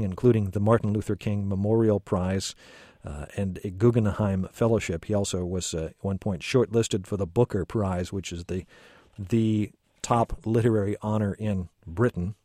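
A man's voice: on the low side, fairly even intonation, normal speed, dark tone, no room sound. A man hosting a radio call-in interview from a studio, reading an introduction and asking questions hesitantly, with many ums and restarts.

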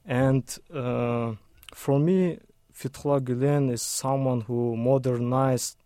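Radio guest, male, measured and flat delivery, hesitant English. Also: mid-range voice, no echo, clean studio sound. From Kazakhstan. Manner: hesitant, careful second-language English. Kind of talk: call-in radio interview.

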